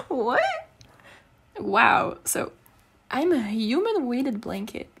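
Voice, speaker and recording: A woman acts out an affectionate, giggly girlfriend in an intimate ASMR roleplay. Her voice is soft and breathy, swinging widely in pitch as she teases and comforts at an unhurried pace. She is a non-native English speaker who rambles fondly with pet names. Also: big pitch swings, exaggerated voice. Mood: fond, teasing. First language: not English. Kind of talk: intimate girlfriend roleplay ASMR, casual improvised-sounding chat.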